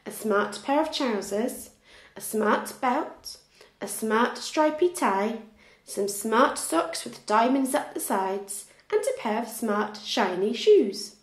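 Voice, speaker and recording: A woman narrates a children's story in a teacherly, expressive way. Her voice is fairly high and very lively, taken at an unhurried, slow pace. The room is echoey.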